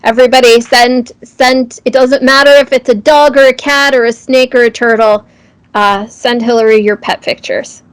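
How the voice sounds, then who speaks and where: A woman with a slightly high voice hosts an informal live interview. She sounds enthusiastic and appreciative, speaking conversationally as she asks questions and addresses the audience. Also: fairly even intonation, normal speed, rough recording. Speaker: interview host. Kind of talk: friendly live interview hosting.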